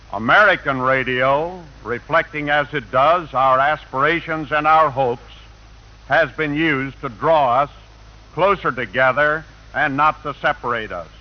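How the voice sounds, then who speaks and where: Solemn man orating on muffled old radio. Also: on the high side. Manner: solemn, oratorical. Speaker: Protestant church spokesman. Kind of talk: formal scripted radio address. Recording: dull lo-fi 1940 radio broadcast.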